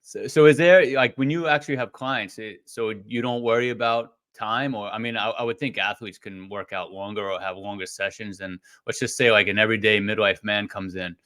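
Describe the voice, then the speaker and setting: A middle-aged man hosting a podcast interview in a relaxed, rambling way. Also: lowish voice, brisk, hardly any pauses, clean tone. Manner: relaxed, rambling, frequent fillers and restarts. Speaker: podcast host.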